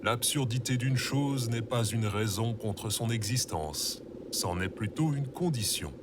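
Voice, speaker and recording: A man's voice: mid-range voice, animated, a little slow, background noise, lightly acted. A man voicing a solemn, philosophical robot companion in an audio comedy, speaking formally and sententiously. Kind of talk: audio fiction comedy acting.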